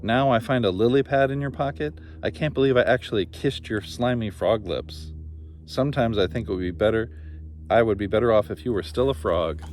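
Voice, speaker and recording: A man's voice: medium pitch, fairly quick, a little mellow, natural voice. A man voicing an exasperated, nagging princess in a fairy tale. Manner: scolding. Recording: noticeable background noise.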